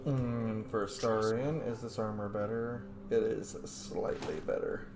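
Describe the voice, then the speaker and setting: A man's voice: on the low side, fairly even intonation, a little slow. Casual male gamer in an echoey room. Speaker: gamer doing a roleplay playthrough. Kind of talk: casual game commentary, reading character lines aloud. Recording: echoey room with faint noise.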